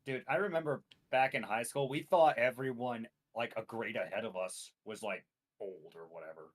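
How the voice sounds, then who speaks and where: A man's voice: medium pitch, moderate pace. A man in his late twenties chatting casually, adding dry, wry jokes while helping a friend write a campaign. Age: 20s. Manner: dry, wry joking asides. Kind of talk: casual chat.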